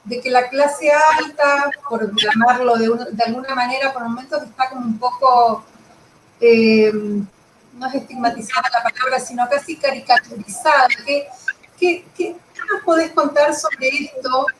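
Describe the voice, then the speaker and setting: A woman's voice: sits high, unhurried. A woman conducting a conversational literary interview, phrasing her thoughts in a reflective, tentative way. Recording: roomy echo with clear background noise.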